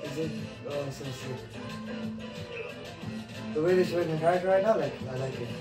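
A man's voice: a little high, moderate pace. A man in his twenties chatting casually and reacting with incredulous exclamations. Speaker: reaction video co-host. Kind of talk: casual excited reaction chat. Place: living room sofa. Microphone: far camera. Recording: roomy, distant mic, trailer music behind.

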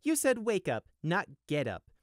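A man's voice: sits high, huge pitch swings, measured pace, no room sound, studio quality, voice pushed up, exaggerated voice. A man doing a sly young sheep prisoner. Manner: smooth, innocent-sounding. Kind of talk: audiobook character voice.

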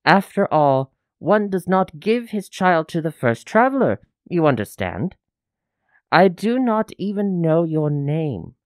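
A man plays a greedy, wheedling innkeeper in a radio drama. He haggles at a slow, theatrical pace with very animated pitch, putting on fatherly affection. The sound is close and dry. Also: no room sound. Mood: calculating. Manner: oily, haggling, theatrically insistent. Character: greedy, wheedling male French innkeeper feigning fatherly love.

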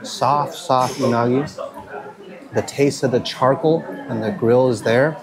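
A man in his thirties talks to a handheld camera while he eats, upbeat and chatty, often humming over his food. His voice sits at a middle pitch. A busy crowd of diners chatters behind him. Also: unhurried, rough recording. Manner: enthusiastic, keeps saying "guys", savoring with hums. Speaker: food vlogger. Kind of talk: casual food vlog narration. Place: restaurants in Kyoto, indoors and riverside outdoors. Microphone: handheld camera at arm's length.

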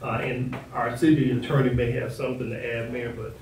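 A man with a mid-pitched voice gives a formal presentation as a city official. His delivery is measured and diplomatic. He sounds distant in a very reverberant meeting room. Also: little pitch movement, a little mellow. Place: indoor council chamber. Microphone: distant room microphone. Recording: echoey meeting room, distant mic, faint noise.

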